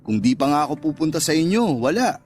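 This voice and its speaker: An actor playing a smooth-talking, indulgent restaurant owner courting his lover in a radio drama, casual and coaxing. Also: on the high side, big pitch swings, fast talker, darkish tone, a little hiss or hum. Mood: indulgent, confiding. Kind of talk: Tagalog radio drama dialogue. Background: background music.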